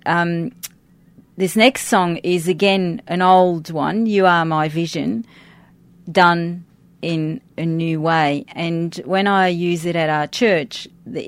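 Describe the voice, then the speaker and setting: A middle-aged woman speaks in a somewhat low register, recorded dry with hardly any room sound. English is her second language. She talks informally in an interview, pausing and rephrasing as she thinks aloud. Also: no room sound. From grew up outside Australia. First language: a language other than English. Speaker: interview guest, church worship team member. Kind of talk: conversational interview.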